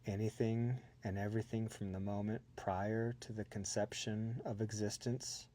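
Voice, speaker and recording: A man reciting a poem in a measured, solemn manner. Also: fairly low pitch, flat delivery, warm tone. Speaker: poet reading own work. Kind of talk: poetry recitation.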